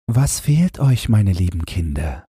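A man voicing a gentle, sorrowful queen and mother from a fairy tale in a tender, wistful manner. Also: low-pitched, sing-song, unhurried, breathy edge, no echo, studio quality, full character voice. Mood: tender, concerned.

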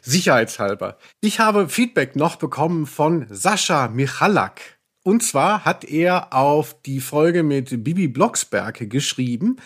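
A man chats casually as a podcast co-host. His voice sits a bit high for a man and has a distinctly husky edge. He talks with dry irony, often at his own expense. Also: big pitch swings, a little slow. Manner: wry, self-deprecating, ironic.

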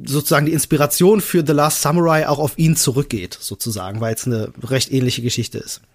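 A man's voice: mid-range voice, fairly even intonation, fairly quick. A man co-hosting a podcast in casual chat, explaining things with enthusiasm. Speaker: podcast co-host.

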